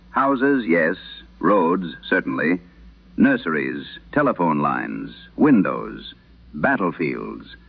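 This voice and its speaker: A man playing a somber late-night radio horror host, reading eerie tales in a grave, formal, deliberate manner with dramatic pauses, through an old radio filter. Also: low voice, vocal fry, full character voice. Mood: grave, ominous. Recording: narrow-band vintage radio sound.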